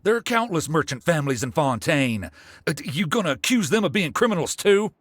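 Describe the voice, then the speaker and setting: A man's voice: on the high side, sing-song, a little fast, a bit bright, dry recording, studio quality. A man voicing a nervous, defensive merchant in a video game, stammering and indignant. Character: nervous, blustering merchant brother, easily rattled, defensive. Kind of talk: scripted video game dialogue.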